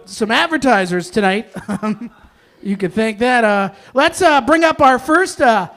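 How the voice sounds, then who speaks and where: A man hosting a live stage event, announcing informally with halting, self-interrupting delivery. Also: very high voice, fairly bright tone. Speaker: host of a live table read.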